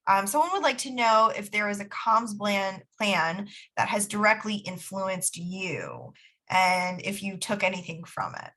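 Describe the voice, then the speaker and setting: A woman's voice: mid-range voice, sharp tone. A woman moderating a webinar on a video call, upbeat as she asks the panel questions.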